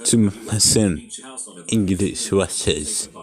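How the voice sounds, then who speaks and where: Young man reading fanfic, low voice. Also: slow pace. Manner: reads unevenly, breaks off to joke and swear. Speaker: fanfic reader. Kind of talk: casual fanfic reading with commentary.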